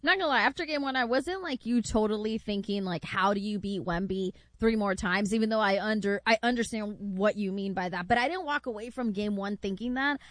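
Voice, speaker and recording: A woman's voice: medium pitch, brisk, few pauses, dry recording, studio quality. A woman co-hosting a casual basketball talk show, agreeing with her partner and then giving her own view. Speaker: sports show co-host.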